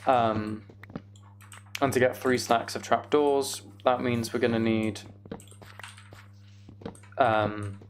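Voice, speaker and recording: A man's voice: mid-range voice, steady tone, slow pace, pauses often. A man streaming a video game, chatting casually and thinking aloud in a rambling way.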